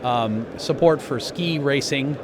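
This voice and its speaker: A man giving an interview as a company executive, explaining products in a measured way with pauses mid-phrase. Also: mid-range voice, noisy background. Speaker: company president being interviewed.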